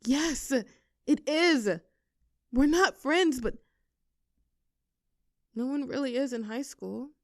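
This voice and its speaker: Woman acting snarky high-pitched high school mean girl. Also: slow pace, long pauses, no room sound, clean studio sound. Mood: shy, confessing. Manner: teasing, sarcastic, with flustered stammers when cornered. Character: snarky popular high school cheerleader bully hiding a soft crush. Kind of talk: audio roleplay acting, one side of a dialogue.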